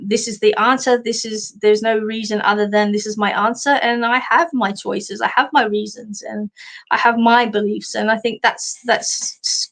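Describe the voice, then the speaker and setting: An Australian woman, a psychic medium and life coach, giving a casual card reading with many fillers and restarts in a slightly husky voice. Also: mid-range voice, poor audio.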